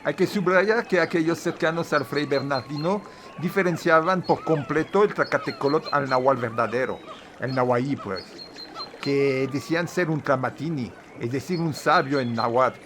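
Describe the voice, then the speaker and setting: A man's voice: medium pitch, moderate pace, noisy background. A man giving an informal history lecture, hesitant and full of fillers. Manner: says his own Spanish is a bit crooked. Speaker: video presenter on Nahua history. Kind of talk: informal lecture, partly read aloud.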